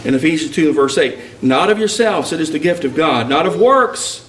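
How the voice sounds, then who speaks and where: Male preacher from Texas, higher voice, emphatic sermon delivery, roomy echo, distant mic. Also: lively intonation, moderate pace, a bit bright. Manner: emphatic, rising preaching cadence. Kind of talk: sermon with personal storytelling. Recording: echoey hall picked up from a distance.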